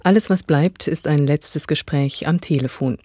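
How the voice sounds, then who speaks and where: A woman presenting a radio programme with a scripted introduction in a measured, formal way. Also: low-pitched, dark tone, no echo, clean studio sound.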